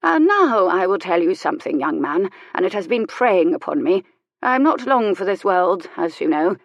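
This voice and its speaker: A woman voicing a sharp-tongued, dying elderly noblewoman with a wry, imperious manner. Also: lowish voice, sing-song, moderate pace, dry recording, dull audio. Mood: confiding. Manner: tart, teasing, grandly authoritative.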